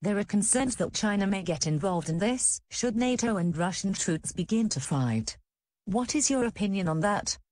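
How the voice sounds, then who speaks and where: A woman plays a composed female news anchor in a radio drama bulletin. The voice is mellow and soft-edged, at a middling pitch, with serious formal delivery. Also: animated, normal speed, close to an everyday voice.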